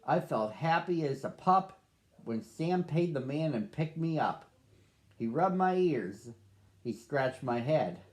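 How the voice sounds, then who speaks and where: A lonely young dog tells his own storybook tale, voiced by a man. The delivery is slow and earnest, sitting a little high. It sounds like a picture book read aloud to children. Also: lively intonation. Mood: wistful. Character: sad lonely young male pet-shop dog.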